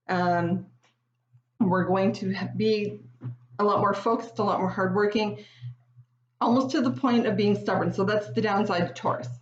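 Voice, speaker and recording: A woman in her forties with a slightly raspy voice, chatting casually to viewers through a tarot reading with frequent ums. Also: fairly high pitch. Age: 40s. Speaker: tarot reader. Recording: dull, echoey room with faint noise.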